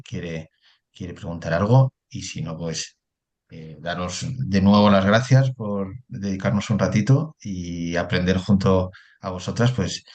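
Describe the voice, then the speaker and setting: A man moderating an online webinar, thanking the speakers in a friendly, relaxed way over a video call. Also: on the low side.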